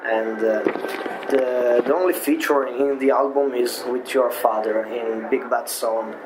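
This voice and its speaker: Male interviewer, mid-pitched, hesitant phrasing, noisy surroundings. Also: steady tone. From Italy. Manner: brief backchannel replies, halting questions. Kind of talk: interview questions. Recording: background noise.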